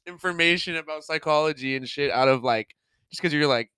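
A man's voice: on the high side, fairly quick, pushed and bright, clean tone. A young man co-hosting a casual podcast, rambling with lots of fillers, laughing and swearing. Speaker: podcast co-host. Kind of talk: casual chat.

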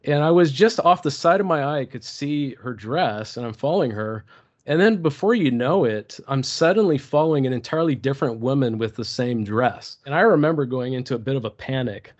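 A man from Turkey, a podcast guest telling a personal story slowly and thoughtfully, often restarting his sentences. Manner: slow, thoughtful, many restarts. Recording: dull low-fidelity podcast audio.

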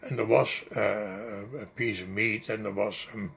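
A man's voice: lowish voice, steady tone, a little slow, vocal fry, a bit bright, a little hiss or hum. An older man, not a native English speaker, recalling a personal story in a rambling oral history interview. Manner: rambling, vivid recollection with fillers.

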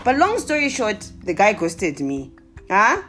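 A young woman from Zimbabwe with a Zimbabwean accent, telling comic stories to her viewers in a casual, animated way with exclamations. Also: medium pitch, measured pace, over music. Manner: animated, exclaiming, laughing often.

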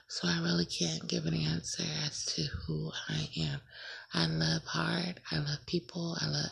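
A woman's voice: low voice, vocal fry, husky. A woman, hosting her own casual podcast and rambling, often correcting herself and laughing at her slips. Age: late 20s. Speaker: podcast host. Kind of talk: casual solo podcast intro.

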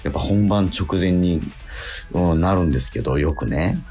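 A middle-aged man chatting casually as a radio host, in a hesitant, halting and self-doubting way. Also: low voice, animated, mellow. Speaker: radio host and stage actor.

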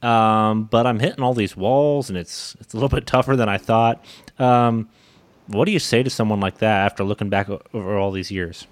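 A man with a fairly low voice hosts a casual interview. His questions wander and hesitate as he phrases them, and the sound is dry with no room echo. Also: normal speed. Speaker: interview host.

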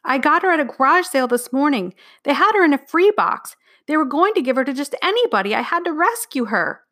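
A woman's voice: high voice, monotone, extremely slow, bright, forceful tone, pitched above the performer's own voice, full character voice. A woman voicing an earnest, strong-willed young girl in a children's audiobook, pleading in an emotional, urgent manner. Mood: nervous, pleading.

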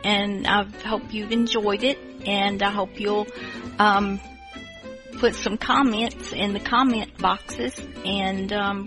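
Casting: woman show host, unhurried and chatty, lots of ums, guitar music under her. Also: medium pitch. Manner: rambling, many fillers. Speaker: podcast host. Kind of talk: casual chat.

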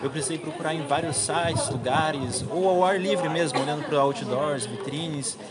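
A man's voice: fairly high pitch, normal speed. A young Brazilian man, a university student, chatting casually as he answers an interviewer. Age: young adult. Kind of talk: casual chat. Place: busy university congress. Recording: loud crowd noise at event.

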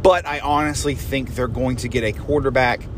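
A man's voice: medium pitch, fairly even intonation, moderate pace, noisy background. A man hosting a podcast, chatting casually and loosely, often restarting and correcting himself as he goes. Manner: rambling, loose, self-correcting. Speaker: podcast host. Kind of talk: casual sports prediction chat.

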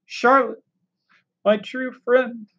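A woman's voice: on the low side, very animated, a little slow, lo-fi sound. Woman playing an anxious, pleading young pig. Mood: distraught. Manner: pleading, dramatic. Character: earnest, anxious, emotional young male pig.

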